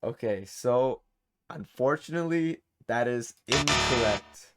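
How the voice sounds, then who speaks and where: A man's voice: mid-range voice, animated, unhurried, over loud music. Casual man hosting a quiz. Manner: informal, reads questions and answer options aloud. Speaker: quiz host. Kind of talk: hosting a game trivia quiz in casual chat.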